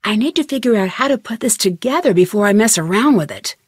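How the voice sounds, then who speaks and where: A woman playing a young, inquisitive and determined female amateur detective in a video game, speaking her scripted lines clearly. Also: mid-range voice, animated, quick pace, darkish tone, dry recording, clean studio sound. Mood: thoughtful. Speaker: voice actress in a detective adventure game.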